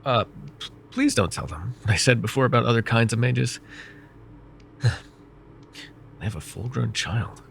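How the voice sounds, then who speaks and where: A man voicing a vain, wry, middle-aged male mage who counsels a student in an ASMR roleplay, speaking in a calm, measured and gently teasing way. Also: mid-range voice, creaky, a little hiss or hum. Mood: calm reassuring. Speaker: voice actor.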